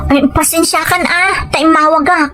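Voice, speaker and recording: A woman's voice: very high-pitched, steady tone, normal speed. A woman with a Filipino Ilocano accent playing a deceitful gambling wife in an audio drama, with music behind her. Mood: feigned worry. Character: deceitful gambling wife and dutiful-sounding daughter. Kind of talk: scripted audio drama acting. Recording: faint music bed behind voice.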